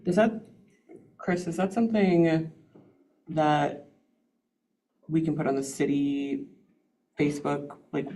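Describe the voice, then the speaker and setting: A woman's voice: low-pitched, fairly even intonation, slow, long pauses, warm tone, slight background noise. A woman asking brief, encouraging questions at a city committee meeting.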